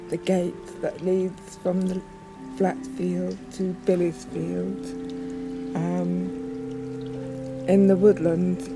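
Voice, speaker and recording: A woman speaking reflectively and unscripted in a documentary, thoughtful and halting, with frequent pauses. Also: lowish voice. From northern England. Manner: halting, pausing often, thoughtful. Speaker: poet.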